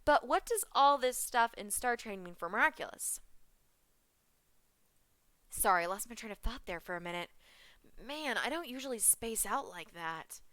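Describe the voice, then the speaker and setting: A young woman chatting in an excited fan reaction vlog, giggling, making puns and drifting off track. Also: medium pitch, clear, resonant tone, no room sound. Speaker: vlogger reviewing a cartoon episode.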